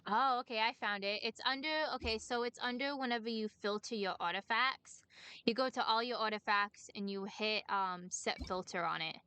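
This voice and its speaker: A woman's voice: on the high side, normal speed, pushed and bright, clean tone, dry recording. A woman who streams games, chatting casually as she plays, with music behind her. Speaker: game streamer. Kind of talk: casual chat while playing a game.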